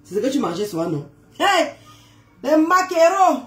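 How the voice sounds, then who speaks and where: A woman's voice: high voice, big pitch swings, normal speed. A woman with a head cold, chatting casually on a live stream, greeting viewers, teasing and exclaiming with frequent laughs.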